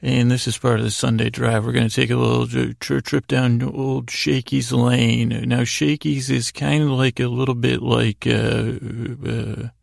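A middle-aged American man delivers a meandering bedtime monologue. His voice is full of gravelly fry and stays in a narrow, even range. He speaks haltingly, digressing and leaving thoughts unfinished, in a close, dry recording. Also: medium pitch, no room sound. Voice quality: gravelly, creaky voice. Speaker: podcast host.

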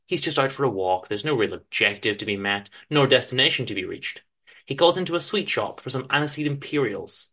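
A man giving a dramatic literary reading of a story, with theatrical, deliberate emphasis and pauses. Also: mid-range voice, bright, forceful tone. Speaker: author reading own story aloud.

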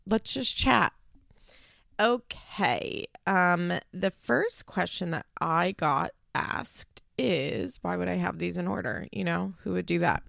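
A woman's voice: lowish voice, slow pace, vocal fry, fairly bright tone, dry recording, clean studio sound. A woman hosting a podcast in casual chat, sounding stuffed up and congested, talking loosely with lots of "like" and the odd swear word. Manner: chatty, frequent "like" fillers, swears casually. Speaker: podcast host.

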